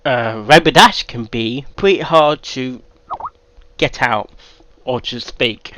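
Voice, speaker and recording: A man hosting a casual reaction video, halting and often repeating phrases. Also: medium pitch, bright, forceful tone, no room sound.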